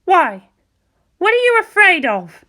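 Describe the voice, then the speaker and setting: A woman's voice: very high voice, sing-song, slow pace, sharp tone, heavily acted. A woman voicing an old male monk, a sardonic teacher who asks pointed, challenging questions in a dramatised story. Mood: sardonic probing. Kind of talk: dramatised fan fiction reading.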